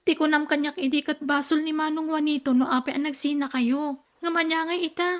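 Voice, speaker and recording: A woman's voice: high voice, fairly even intonation, close to an everyday voice. A woman acting a hesitant young daughter and sister in an Ilocano radio drama. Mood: reproachful. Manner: hesitant, halting.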